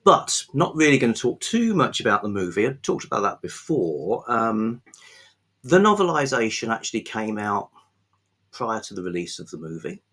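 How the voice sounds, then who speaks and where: A man with a low, slightly husky voice chats as the host of a book vlog. His delivery is unscripted and rambling. He often stops mid-phrase and picks the thought back up at an informal, conversational level. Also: sing-song, moderate pace, fairly bright tone. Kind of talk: casual unscripted book chat.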